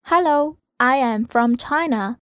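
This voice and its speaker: High voiced lady reading test items real slow n careful, no room sound. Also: no echo, studio quality. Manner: careful, deliberate enunciation. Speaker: listening-test reader. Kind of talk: scripted language-test reading.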